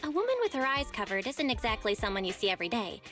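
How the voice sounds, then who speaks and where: A perky younger sister in a video game. Her voice is high and girlish, with a playful, teasing, chatty delivery over soft music. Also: quick pace. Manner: playful, lively, conversational.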